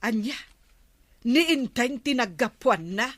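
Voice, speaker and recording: A stern wife of a village captain in a radio comedy drama. Her voice sits fairly high and she takes her time over her words. She questions and reproaches like someone used to scolding. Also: lively intonation, slow. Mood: suspicious.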